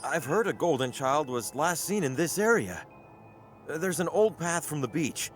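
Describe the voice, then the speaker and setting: A man's voice: on the high side, very animated, a little slow, soft background music. An actor playing a desperate, earnest man pleading for a cure for his beloved in a video game. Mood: sorrowful, earnest. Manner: urgent, pleading, emotive. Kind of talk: dramatic video game acting.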